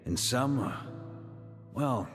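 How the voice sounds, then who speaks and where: An actor playing a calm, fatherly, lightly wry knight grand master in a video game. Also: mid-range voice, drawn-out words. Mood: solemn, reassuring. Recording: long hall reverb, faint music.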